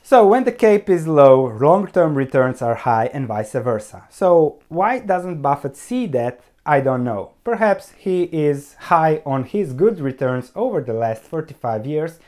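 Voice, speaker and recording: Man, 30s, solo video host, lively swinging pitch, emphatic and hands-on, close lapel sound. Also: unhurried, hardly any pauses. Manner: animated, gesturing with both hands, emphatic. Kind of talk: solo explainer to camera. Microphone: lapel mic.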